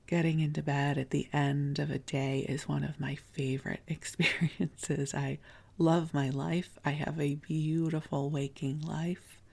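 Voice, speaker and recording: A middle-aged woman with a froggy morning voice hosts a sleep podcast from bed. She talks very slowly and evenly, slipping into a husky half-whisper. The tone stays low and flat, with a light gravelly fry. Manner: slow, soothing, partly whispered. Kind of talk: soft-spoken sleep podcast talk. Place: bedroom, under a blanket fort.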